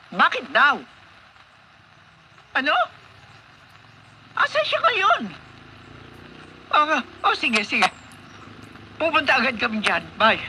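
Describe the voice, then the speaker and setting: A woman's voice: sits high, pushed and bright, full character voice. An actress playing a wealthy, protective, imperious mother in a radio drama, commanding and melodramatic. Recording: noisy radio broadcast, heavy background sound.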